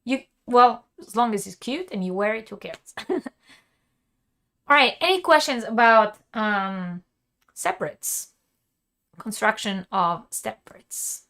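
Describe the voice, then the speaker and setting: A woman hosting a live crochet lesson, talking casually with her viewers and laughing often. Her voice is wearing thin and she stops to cough and clear her throat. She talks in loose, chatty runs with plenty of fillers. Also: mid-range voice, lively intonation, takes it slowly, pauses often, a bit bright. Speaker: crochet teacher and channel host. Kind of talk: live-streamed craft lesson, answering chat.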